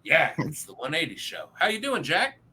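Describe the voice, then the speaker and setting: A man's voice: on the high side, very animated, moderate pace, vocal fry, sharp tone, husky. A man hosting a casual podcast, upbeat and teasing with his guests. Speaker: podcast host. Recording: faint background hiss.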